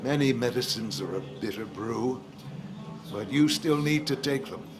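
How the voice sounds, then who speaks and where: An actor playing a measured, faintly ominous small-town pharmacist in a video game, deliberate, formal and cryptic. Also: male voice, mid-range voice, music in the background, natural voice. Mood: measured, knowing.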